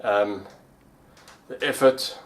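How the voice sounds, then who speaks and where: A man with a fairly low voice talks in a casual vlog style. His delivery is informal, with pauses as he puts his thoughts together. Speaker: vlogger and amateur pilot.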